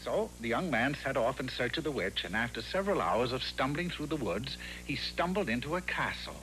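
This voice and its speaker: A man's voice: mid-range voice, sing-song, brisk, bright, forceful tone, soft background music, low-quality recording. A man narrating a scripted fairy tale for a cartoon as a storyteller.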